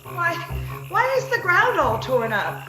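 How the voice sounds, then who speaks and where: A woman's voice: extremely high pitch, big pitch swings, a little slow, over music, heavily acted. A woman playing a flustered, wheedling captive in a 1940s-style radio comedy, chattering with stammers and dithering, over a roomy video call. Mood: terrified pleading. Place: remote video-call performance.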